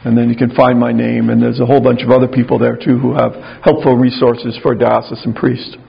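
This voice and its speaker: Older male speaker, very low and gravelly voice, conversational and wry, giving a retreat talk to clergy. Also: flat delivery, brisk, highs cut off. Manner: conversational, wry, self-deprecating humor. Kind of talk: spiritual talk to a live audience.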